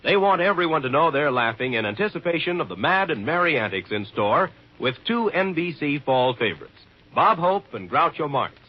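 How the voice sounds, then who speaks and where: Animated, husky 1950s radio announcer man. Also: on the high side, moderate pace, hardly any pauses. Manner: showy, upbeat salesmanship. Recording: muffled 1950s radio recording.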